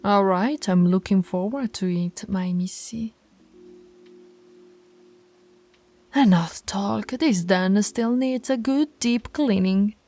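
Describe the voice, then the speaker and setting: A woman playing a slow, creaky, dominant alpha werewolf woman. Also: mid-range voice, mellow, faint music behind. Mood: playfully skeptical. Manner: slow, commanding, teasing, often dropping to a whisper. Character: dominant teasing female alpha werewolf pack leader. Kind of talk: ASMR roleplay voice acting.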